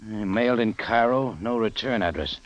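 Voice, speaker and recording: An actor playing a tough, wry American adventurer with a terse hard-boiled delivery, in 1940s radio drama style, on a dull old recording. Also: male voice, on the low side, moderate pace, natural voice. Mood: terse, uneasy. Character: tough, wry American adventurer narrating his own case.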